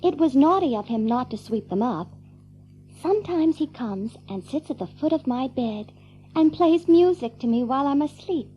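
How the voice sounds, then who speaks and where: A woman's voice: high voice, lively intonation, normal speed, warm tone, lo-fi sound, slight background noise. A woman playing an earnest, trusting, matter-of-fact little girl in a children's audio drama.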